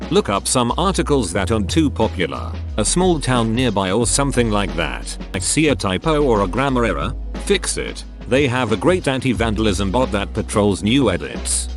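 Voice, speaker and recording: A man narrating online posts in an even, scripted reading voice. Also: lowish voice. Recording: background music under voice.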